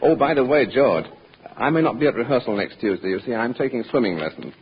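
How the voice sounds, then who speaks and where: A man playing an affable, silly comic sidekick with a mock-gentlemanly manner in old radio comedy. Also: mid-range voice, expressive, quick pace, close to an everyday voice. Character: genial, silly male sidekick with absurd excuses. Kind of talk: 1940s radio comedy acting. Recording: muffled, noisy old radio recording.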